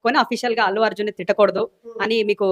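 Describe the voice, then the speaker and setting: A man's voice: high voice, fairly even intonation, rapid-fire. A man asking interview questions as a reporter. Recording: very noisy field recording, clicks.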